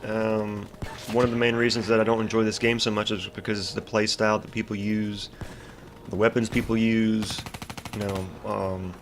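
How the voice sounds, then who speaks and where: A man's voice: fairly low pitch, flat delivery, normal speed, slight background noise. A congested young man casually ranting about a video game as he comments on his gameplay, rambling with lots of fillers and restarts. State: congested, stuffy nose. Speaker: gaming YouTuber commentating gameplay.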